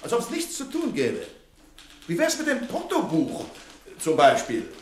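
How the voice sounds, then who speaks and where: An actor playing a pedantic, sarcastic office boss in a German radio drama, with pompous, exaggeratedly formal politeness. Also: male voice, sits high, wildly swooping pitch, measured pace, a little hiss or hum, full character voice. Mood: bossy, scolding. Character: pedantic, sarcastic male office boss, nagging about punctuality.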